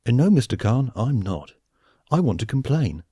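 A man's voice: mid-range voice, normal speed, husky, no echo, studio quality. A man putting on an eager, plucky young officer. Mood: indignant. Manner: earnest, excitable, old-fashioned.

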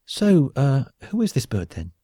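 A man's voice: mid-range voice, wildly swooping pitch, a little slow, warm tone, dry recording, studio quality, pitched above the performer's own voice. Man voicing a wry, irreverent spaceship crewmate. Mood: curious. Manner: sardonic, informal. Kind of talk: audiobook character acting.

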